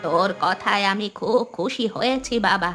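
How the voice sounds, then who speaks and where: A woman voicing an old village great-aunt, plaintive but generous, with a drawn-out delivery in a cartoon dub. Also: medium pitch, pushed and bright, soft background music, lightly acted. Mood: earnest. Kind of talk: Bengali cartoon dubbing.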